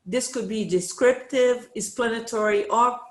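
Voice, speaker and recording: A woman's voice: fairly high pitch, moderate pace. Brazilian woman giving an online talk. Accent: Brazilian Portuguese. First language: Portuguese. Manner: hesitant, many fillers, searching for words. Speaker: university professor presenting. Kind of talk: online conference presentation.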